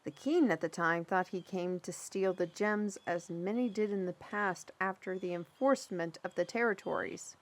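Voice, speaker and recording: A woman playing a chatty, easily flustered storyteller in a fantasy audio roleplay, with an expressive, hesitant delivery. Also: on the low side, moderate pace, hardly any pauses, natural voice. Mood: musing, amused. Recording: music behind the voice.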